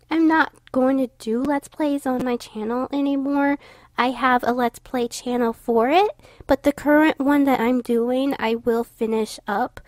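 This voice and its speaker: Young female vlogger, higher voice, stuffy and tired, rambling with fillers. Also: dry recording. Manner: rambling, many fillers and restarts. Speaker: YouTuber narrating her drawing. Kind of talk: casual voice-over commentary.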